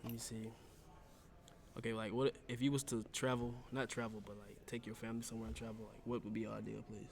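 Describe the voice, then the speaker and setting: A man's voice: lowish voice, flat delivery, fast talker. A young man, a college football player, talking in a laid-back, slangy way in a casual interview. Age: young adult.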